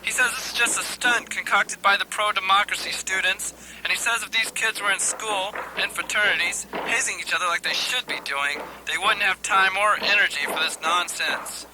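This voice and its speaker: A man's voice: sits high, normal speed, close to an everyday voice. A man playing a glib, blustery foreign correspondent in a satirical radio news spoof. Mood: glib, reporting. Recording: noisy outdoor ambience with vehicles.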